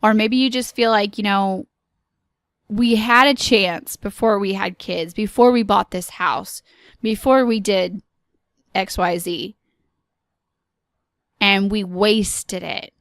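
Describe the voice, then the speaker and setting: A woman hosts her own podcast and talks slowly and earnestly, with a mid-range voice. She pauses often and says um a lot as she encourages her listeners. The sound is dry and close. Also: mid-range voice, steady tone, a bit bright, dry recording. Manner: earnest, encouraging, slow with pauses and filler ums. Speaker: podcast host. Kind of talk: solo podcast monologue.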